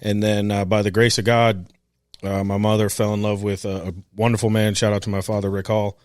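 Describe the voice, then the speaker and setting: A man from Florida, a podcast co-host speaking as a guest, telling stories in a relaxed way with many fillers. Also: lowish voice, fairly quick, smooth, dry recording, studio quality. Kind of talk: casual interview conversation.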